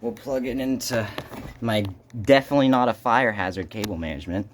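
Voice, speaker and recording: A man hosting a casual, unscripted unboxing video, speaking haltingly and muttering to himself as he goes. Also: on the low side, slight background noise. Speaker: video host doing an unboxing.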